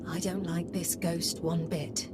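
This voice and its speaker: An actress voicing a calm, polite female AI assistant with a formal, courteous manner in video game dialogue. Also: lowish voice, takes it slowly. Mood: uneasy. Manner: formal, courteous, addresses him as sir. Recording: with game music behind.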